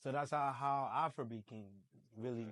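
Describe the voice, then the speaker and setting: A man's voice: mid-range voice, steady tone, normal speed, fairly bright tone. Young nigerian guy, nigerian accent, musician talking loose in an interview, lots of "you know". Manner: rambling, many fillers like "you know". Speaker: musician guest. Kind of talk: casual interview chat.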